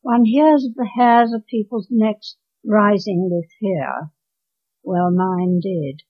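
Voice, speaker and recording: A woman in her nineties with an English accent reads her own story aloud in measured, deliberate phrases. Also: medium pitch. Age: 90s. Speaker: author reading own story. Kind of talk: scripted storytelling, read aloud. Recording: dull lo-fi home recording.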